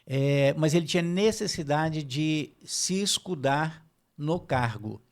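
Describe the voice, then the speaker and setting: A middle-aged man with a Brazilian Portuguese accent hosts an interview in a thoughtful, measured way. His mid-range voice has a strong gravelly fry. He tends to bring in his own experiences as he talks. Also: a little high, lively intonation. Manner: thoughtful, measured, shares personal anecdotes. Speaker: host. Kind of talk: interview conversation.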